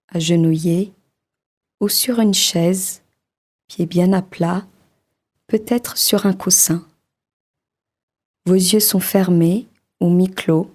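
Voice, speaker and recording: A woman leading a guided body-scan meditation in a slow, soft, soothing voice with long pauses. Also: fairly low pitch.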